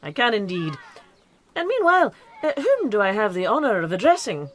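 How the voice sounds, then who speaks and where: Casting: woman playing smooth-talking Georgian gentleman doctor, formal and flirtatious, radio drama. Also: medium pitch, big pitch swings, a little fast, close to an everyday voice. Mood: courtly, flirtatious.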